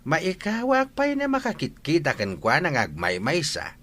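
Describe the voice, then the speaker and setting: A man playing an older village father, excitable, fond and nagging, in a radio-style drama. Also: fairly high pitch, moderate pace, exaggerated voice. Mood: concerned, fond.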